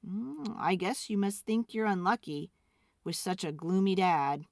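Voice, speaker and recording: A woman voicing a boy's gentle, downcast but hopeful father, speaking softly and reassuringly in a storybook. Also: medium pitch, expressive, a little slow, dry recording, clean studio sound. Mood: rueful.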